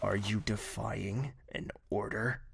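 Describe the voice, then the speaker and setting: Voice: medium pitch, fairly even intonation, takes it slowly, gravelly, a little hiss or hum. An actor playing a stern royal ruler in a fantasy audio drama, commanding and curt, expecting obedience. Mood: imperious, threatening. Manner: authoritative, curt, imperious.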